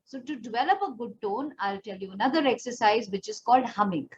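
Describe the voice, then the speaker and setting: High-voiced Indian woman teaching, dull lo-fi sound. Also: expressive, brisk. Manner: explanatory, demonstrative, addressing the learner directly. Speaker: pageant and personal development trainer. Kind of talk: instructional voice training lesson. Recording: dull lo-fi audio, faint background noise.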